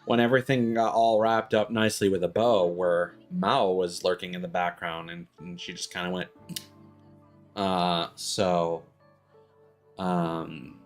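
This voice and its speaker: Man, lowish voice, casual game streamer, rambling, self-correcting, sweary, faint music behind.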